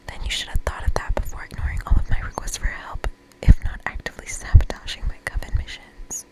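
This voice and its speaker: A woman's voice: quick pace, close to an everyday voice, poor audio. A woman voicing a confident young witch from a summer camp game in a whisper, firm, dry and sassy. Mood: exasperated, firm.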